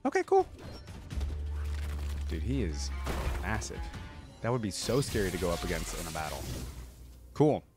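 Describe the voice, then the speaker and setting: A man with a fairly low voice gives casual reaction commentary. He is laid-back and slangy, thinking aloud as he goes, with music clearly playing behind him. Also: sing-song, normal speed, long pauses. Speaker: reaction video host.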